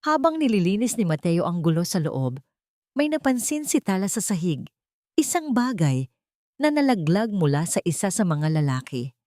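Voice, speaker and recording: Low-voiced woman narrating a dramatic story. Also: big pitch swings, normal speed, warm tone, no echo, studio quality.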